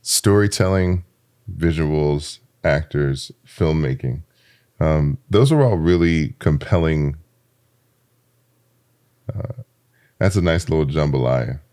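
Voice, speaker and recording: Very deep-voiced man hosting a podcast. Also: animated, takes it slowly, long pauses, dark tone, dry recording, studio quality. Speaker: podcast host, interviewer. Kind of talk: conversational interviewing.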